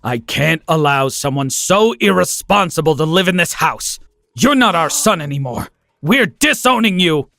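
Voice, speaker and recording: Angry dad done by a guy, harsh and cutting, pitch swinging. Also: sing-song, moderate pace, hardly any pauses, pushed and bright, no room sound, heavily acted. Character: furious disowning father.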